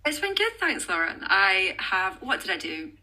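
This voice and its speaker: Woman from England, podcast guest, casual chat, husky, sharp bright tone, many "like" fillers. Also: medium pitch, big pitch swings, normal speed, low-quality recording. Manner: chatty, peppered with "like" fillers. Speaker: guest, online fitness coach.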